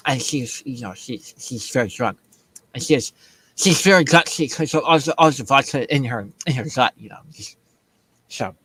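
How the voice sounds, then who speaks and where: A man's voice: mid-range voice, lively intonation, moderate pace, a bit bright, husky, low-quality recording. A man hosting a political commentary show in a casual, joking way, rambling and repeating himself as he mocks. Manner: rambling, repetitive, mocking.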